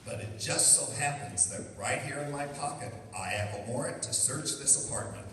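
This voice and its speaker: A man's voice: medium pitch, moderate pace, fairly bright tone, natural voice. A man playing a brusque, suspicious, sardonic police inspector in an old-time radio detective drama. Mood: stern, sardonic. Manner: brusque, insistent, wry. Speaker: amateur radio theatre actor. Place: live stage performance in a large room. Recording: very echoey hall from far off, faint noise.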